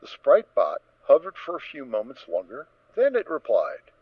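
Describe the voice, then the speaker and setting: A man's voice: medium pitch, huge pitch swings, normal speed, no room sound. Male narrator for audio story: dramatic, very varied intonation, dry, slightly muffled recording.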